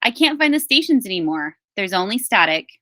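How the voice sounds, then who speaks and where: A woman's voice: medium pitch, sing-song, brisk, sharp tone. A woman voicing a doubtful, demanding lady customer in a storybook read-aloud, with a questioning, bossy manner.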